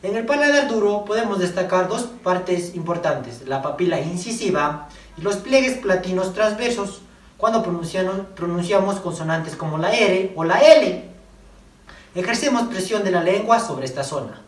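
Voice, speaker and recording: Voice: mid-range voice, lively intonation, normal speed. A young adult student giving an explanatory class presentation, recorded with echo and at a distance from the microphone.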